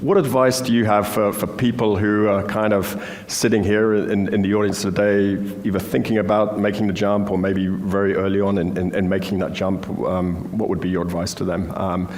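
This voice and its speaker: A man with a lowish voice moderates a panel on a conference stage, putting long, friendly questions to the speakers and restarting phrases as he goes. His voice carries a long reverb from the hall. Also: level tone, normal speed, few pauses, darkish tone. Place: conference stage before an audience.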